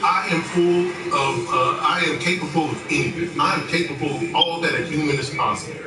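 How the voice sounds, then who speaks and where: Animated man telling a story, echoey room. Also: a little high. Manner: animated, storytelling with quoted dialogue. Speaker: co-host. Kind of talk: casual podcast chat. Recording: echoey, distant mic with music behind.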